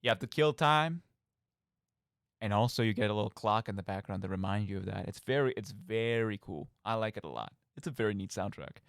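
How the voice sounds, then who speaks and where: A man's voice: on the low side, fairly quick, smooth, dry recording, studio quality. A man hosting a radio show solo, rambling casually and theatrically with conversational asides. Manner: animated, theatrical mock outbursts, conversational asides. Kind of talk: casual rambling monologue about video games.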